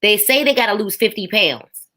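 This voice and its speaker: A woman's voice: mid-range voice, animated, normal speed, bright, forceful tone. A woman in her forties giving a faith testimony as a podcast guest, emphatic, with a preaching rhythm and repeated phrases. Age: 40s.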